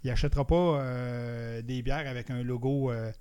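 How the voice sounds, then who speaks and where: A man chatting casually as a podcast co-host, in a hesitant, halting way. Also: mid-range voice, fairly even intonation, darkish tone, smooth. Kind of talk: casual chat about craft beer.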